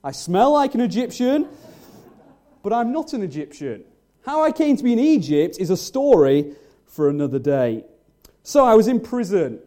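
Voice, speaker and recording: A man's voice: high voice, very animated, normal speed, mellow. A man telling the story as an earnest biblical man recounting his life. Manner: earnest, confiding storyteller speaking straight to the congregation. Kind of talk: first-person dramatic monologue in a church service.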